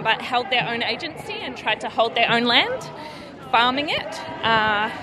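Higher-voiced New Zealand woman amid music and crowd. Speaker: protester being interviewed. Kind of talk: unscripted explanation in street interview. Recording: street recording with music and crowd.

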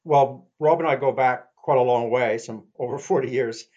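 A man's voice: mid-range voice, normal speed, a little mellow, highs cut off. An older man, an author and activist, answering interview questions hesitantly with fillers.

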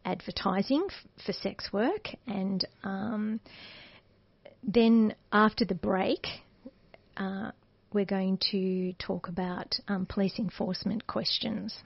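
A woman with an Australian accent and a soft voice, co-hosting a community radio talk show in the studio and chatting loosely with restarts and fillers. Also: medium pitch, vocal fry, highs cut off. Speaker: radio co-host.

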